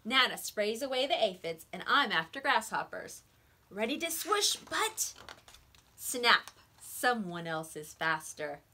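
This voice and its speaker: A woman with a slightly high voice reads a storybook aloud for young children. Her delivery is expressive and swings widely in pitch, as a teacher's does when stressing the key words of a tale. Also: takes it slowly. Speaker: teacher reading picture books aloud.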